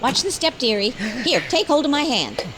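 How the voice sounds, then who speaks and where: A woman's voice: high-pitched, very animated, normal speed. A woman playing a sensible, fond, homely wife in a 1940s-style radio comedy. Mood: brisk. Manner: homely, motherly, calling her husband dearie. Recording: audience murmur and faint noise behind.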